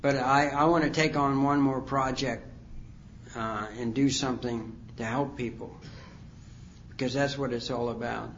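A man in his sixties telling his recovery story at a conference, rambling and halting with many fillers. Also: mid-range voice, steady tone, dull audio, a little hiss or hum. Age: 60s. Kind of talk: personal testimony talk. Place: conference hall.

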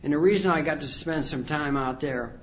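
A man in his sixties telling his recovery story at a conference, rambling and halting with many fillers. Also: a little high, fairly bright tone, highs cut off, slight background noise. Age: 60s. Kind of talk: personal testimony talk. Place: conference hall.

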